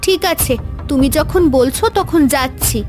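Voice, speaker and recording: A woman voicing a caring young fairy friend in a fairy-tale cartoon, earnest and expressive. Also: high-pitched, normal speed, soft background music, close to an everyday voice. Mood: concerned.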